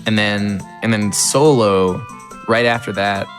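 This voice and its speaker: Slightly raspy deep-voiced man chatting. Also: steady tone, unhurried. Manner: chatty, many fillers and restarts. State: a little raspy from illness. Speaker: speedrunner. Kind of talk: casual gaming chat while playing. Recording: music playing behind.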